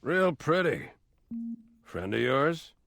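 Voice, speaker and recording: A man's voice: a little high, very animated, slow pace, vocal fry, a bit bright, no room sound, studio quality, exaggerated voice. An actor playing an authoritative military captain in a video game cutscene, clipped and commanding in the way he speaks. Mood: dry. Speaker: commanding officer.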